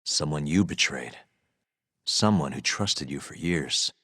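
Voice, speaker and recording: A man playing a cool, determined lawyer hero in a video game, speaking in a measured, understated, dry way. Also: extremely low pitch, expressive, pushed and bright, no echo, full character voice. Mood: accusing. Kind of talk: English video game cutscene acting.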